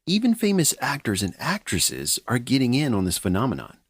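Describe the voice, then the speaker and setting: A man's voice: medium pitch, huge pitch swings, a little fast, slightly rough, no room sound, clean studio sound. A middle-aged man hosting a podcast, talking in a wry, chatty, self-mocking way. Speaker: podcast host. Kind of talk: casual scripted podcast narration.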